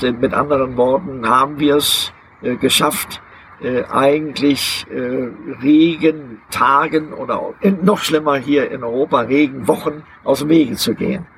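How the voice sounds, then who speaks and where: An older man answers questions outdoors, his gravelly voice halting and deliberate, full of hesitations as he searches for words. A faint outdoor hum runs underneath. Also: mid-range voice, poor audio. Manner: deliberate, hesitant, many filler sounds. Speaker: interviewee, long-term overland traveler. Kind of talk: outdoor interview. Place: outdoors beside a vehicle museum.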